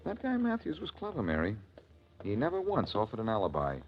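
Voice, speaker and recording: A man's voice: mid-range voice, huge pitch swings, a little fast. An actor playing a confident, wisecracking male detective in a 1940s radio drama. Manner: breezy, wisecracking. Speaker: detective hero. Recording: lo-fi 1940s radio recording with faint hiss.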